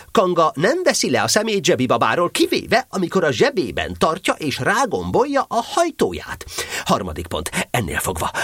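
A man's voice: a little high, a bit bright, no room sound, clean studio sound. A man voicing a pompous, bossy, self-important rabbit in a children's audio play, speaking in an officious way. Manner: pompous, officious, throat-clearing.

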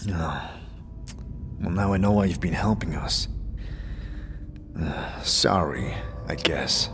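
A man's voice: low-pitched, takes it slowly, noisy background, pitched below the performer's own voice. A man playing a weary, wary immortal adventurer in a fantasy audio drama, muttering dryly and hesitantly.

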